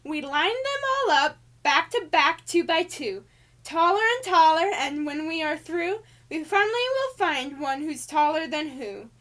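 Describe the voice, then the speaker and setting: A woman's voice: high voice, expressive, a little slow, a bit bright. A woman voicing a female cartoon angel who reads a rhyming storybook to children in a showy, sing-song way with exaggerated emphasis. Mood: bright, excited. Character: Alice Angel, female cartoon angel reading a bouncy birthday storybook.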